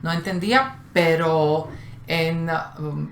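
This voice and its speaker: A woman's voice: low voice, takes it slowly, slight background noise. Thoughtful woman, echoey room. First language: Slovak. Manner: thoughtful, hesitant, many fillers. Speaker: co-host. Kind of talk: casual conversation.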